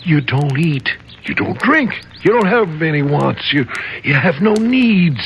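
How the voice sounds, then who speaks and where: Creaky-voiced, urgent older dreamer. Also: male voice, fairly high pitch, big pitch swings, a little slow, highs cut off. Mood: insistent. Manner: stammering, urgent, insistent. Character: excitable rambling older man, insistent, urging a schemer. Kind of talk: 1970s radio drama acting. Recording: noisy vintage radio broadcast.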